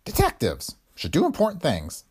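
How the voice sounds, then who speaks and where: A man's voice: fairly high pitch, wildly swooping pitch, moderate pace, a little mellow, breathy edge, voice pushed up, exaggerated voice. A man voicing a crafty, cackling old male detective who talks in a folksy, ungrammatical way. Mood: grumbling.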